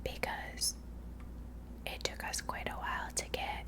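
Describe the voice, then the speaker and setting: A woman whispering breathy ASMR commentary as she plays a game, musing aloud in an unhurried way. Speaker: gamer narrating a playthrough.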